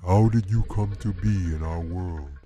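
A man voicing a huge, solemn male bear king with a deep rumbling growl, speaking slowly and gravely. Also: mellow, dull audio, slight background noise. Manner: slow, grave, stern.

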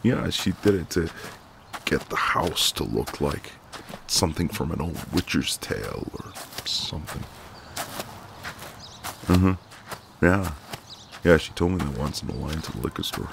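A man playing a friendly, gallant, gently teasing ex-marine neighbour in an intimate, easygoing one-sided roleplay. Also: very deep voice, animated, a little slow, vocal fry, pauses often, noisy background, full character voice. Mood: friendly, chatty. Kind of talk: intimate ASMR roleplay, one side of a conversation.

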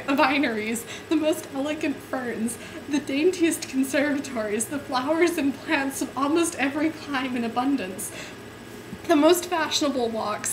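High-voiced young woman reading aloud. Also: background noise. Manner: giggly, stumbles over florid sentences. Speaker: amateur reader. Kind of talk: dramatic reading of an overwrought novel. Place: indoor room at home.

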